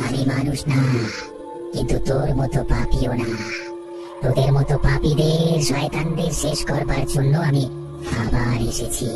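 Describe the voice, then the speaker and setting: A woman voicing a vengeful, menacing murdered ghost in a cartoon, speaking in slow threats. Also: a bass voice, fairly even intonation. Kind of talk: cartoon horror voice acting. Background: music.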